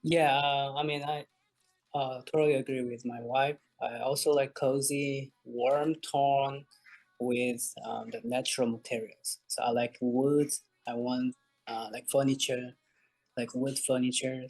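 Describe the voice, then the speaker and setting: A man's voice: medium pitch, little pitch movement, unhurried, a little mellow, faint music behind, rough recording. A man from South Korea with a Korean accent, answering questions as a guest in a casual interview.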